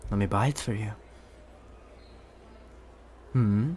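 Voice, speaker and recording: Slow, low, doting rich boyfriend, acted by a man. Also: a little hiss or hum. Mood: doting, coaxing. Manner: soft-spoken, unhurried, coaxing with pet names. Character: rich possessive young boyfriend, smooth, doting, quietly menacing. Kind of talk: ASMR boyfriend roleplay.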